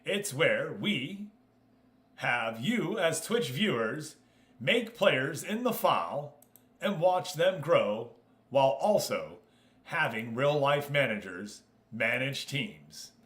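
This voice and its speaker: A man's voice: medium pitch, very animated, slow, fairly bright tone. An American man hosting a livestream, chatting casually with viewers and commentating on a game as he goes. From United States. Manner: chatty, hops between addressing viewers and explaining. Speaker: live streamer and host.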